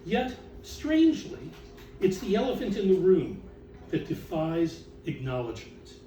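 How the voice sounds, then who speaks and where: A man reciting written text as author and curator, in a theatrical, deliberate way with drawn-out emphasis. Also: high voice, wildly swooping pitch, warm tone. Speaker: author and exhibition curator reading aloud. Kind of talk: dramatic recitation of catalog text to an audience. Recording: roomy, distant mic with background noise.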